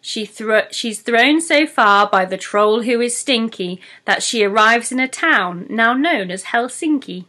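Slow, bright young woman reading. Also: a little high, expressive. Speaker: primary school teacher reading aloud. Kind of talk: expressive rhyming children's story narration.

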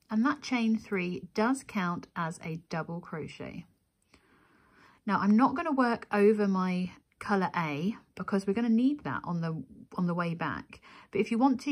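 A woman narrating a step-by-step craft tutorial as the instructor, methodical and counting steps aloud. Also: mid-range voice.

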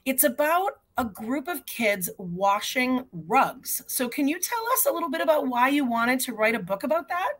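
A middle-aged woman speaks in a fairly high voice. She hosts a conversational interview over a video call, putting questions to her guest as an editor would. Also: very animated, normal speed, few pauses, a bit bright. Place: indoor home room, video call. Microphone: computer video call microphone.

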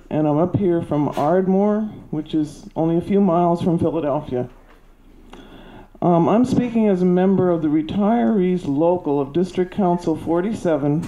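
Older woman, soft and mellow-toned, earnest and a little halting, handheld mic in a meeting hall, muffled sound. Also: fairly low pitch, a little hiss or hum. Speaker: public commenter. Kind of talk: prepared public comment. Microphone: handheld microphone.

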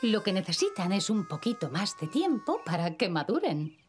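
A woman's voice: mid-range voice, big pitch swings, normal speed, lightly acted. Casting: actress as patient cartoon mother, Castilian Spanish, affectionate, hugely varied intonation, music behind. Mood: patient, reassuring. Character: patient, affectionate mother of two small children. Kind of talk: children's cartoon dub acting.

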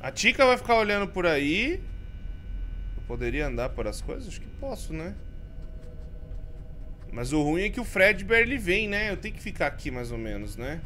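A Brazilian man in his thirties, a streamer giving jumpy commentary as he plays a horror game, swinging from mumbles to yells. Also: high-pitched, big pitch swings, normal speed, pauses often, slight background noise. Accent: Brazilian Portuguese. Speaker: gaming streamer. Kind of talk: let's play commentary. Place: home streaming room with colored lights.

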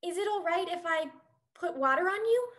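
A woman's voice: extremely high pitch, animated, fairly quick, a bit bright. A woman playing a kindly, eager young girl in a play reading, hesitant and childlike. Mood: curious, eager.

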